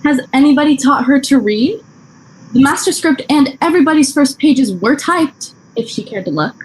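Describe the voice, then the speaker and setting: A snippy, defensive scriptwriter with a high voice, played by a woman. A faint hiss sits under her video call audio. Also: expressive, normal speed, darkish tone, poor audio.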